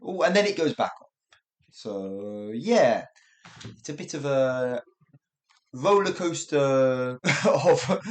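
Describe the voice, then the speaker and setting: Slow-talking man with a lively voice. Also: on the low side. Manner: chatty and self-correcting, with frequent laughs. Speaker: English teacher, podcast host. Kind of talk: casual spoken English lesson.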